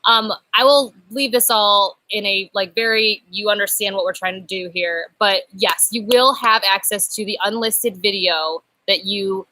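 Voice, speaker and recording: A woman's voice: medium pitch, unhurried, hardly any pauses, no room sound, low-quality recording. A young woman hosting a casual live stream from an outdoor patio, chatty and upbeat, picked up by a laptop webcam. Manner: chatty, upbeat, self-described loud voice. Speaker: channel host.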